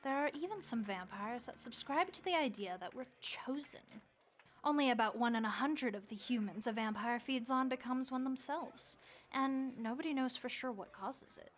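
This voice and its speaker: A woman voicing a friendly, motherly vampire innkeeper who speaks gently and reassuringly to the listener in an audio roleplay. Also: a little high, lively intonation, normal speed, slight background noise, close to an everyday voice. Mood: earnest, comforting.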